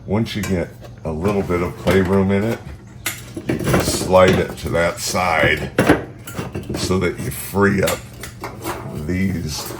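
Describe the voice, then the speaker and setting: Stuffed up guy in his garage, slow deep voice, noisy clanking in the background. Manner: relaxed, chatty, thinking aloud with fillers. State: stuffy nose from allergies. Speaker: car channel host. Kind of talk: casual how-to garage vlog. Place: home garage beside a car.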